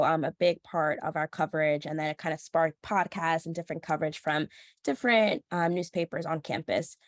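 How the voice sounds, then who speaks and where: A woman's voice: fairly low pitch, dry recording, clean studio sound. Nasal young woman with a cold. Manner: earnest, many ums and likes. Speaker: panelist, social news reporter. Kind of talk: panel discussion answer.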